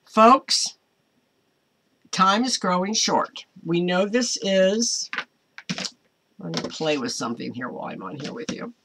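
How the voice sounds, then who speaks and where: An older American woman, around her seventies, hosting an informal online Bible study in a halting, deliberate way from a small home office. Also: lowish voice, expressive, creaky, fairly bright tone, slightly rough. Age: older, around 70s. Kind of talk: informal devotional talk to camera with scripture reading.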